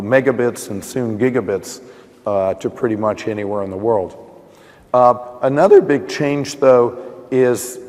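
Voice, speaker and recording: A man with a hoarse voice explains a technical topic at a conference session. He works through his thoughts out loud, with restarts and fillers. His medium-pitched voice carries a long echo from a large hall. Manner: thinking aloud, with frequent restarts and "you know". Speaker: conference panelist.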